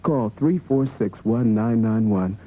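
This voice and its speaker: A man's voice: lowish voice, slow. An American man reading a scripted informational commercial as announcer. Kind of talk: scripted public information ad read. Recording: tinny band-limited 1970s broadcast tape.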